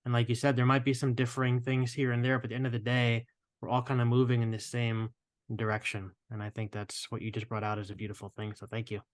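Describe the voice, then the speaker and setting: A man hosting a podcast interview, affirming and gentle with his guests. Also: medium pitch, monotone, fast, no room sound. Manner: affirming, gentle, many "yeah" and "mm". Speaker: podcast host.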